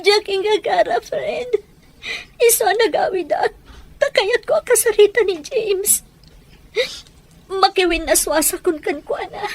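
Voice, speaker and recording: A woman's voice: extremely high pitch, expressive, a little slow, pauses often, full character voice. A woman playing an anguished, guilt-ridden young mother who speaks through tears in an audio soap drama. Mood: tearful, distraught. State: crying.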